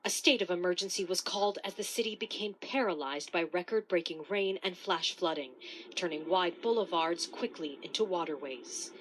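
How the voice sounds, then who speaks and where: A woman's voice: fairly low pitch, normal speed, fairly bright tone, slight background noise, poor audio. A woman narrating a scripted news report as a correspondent.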